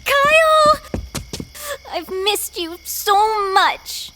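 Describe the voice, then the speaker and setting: A young woman playing a cheeky, bubbly sidekick girl in an audio drama, playful and drawn-out in delivery. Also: extremely high pitch, big pitch swings, bright, forceful tone, a little hiss or hum, exaggerated voice, poor audio. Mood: gushing.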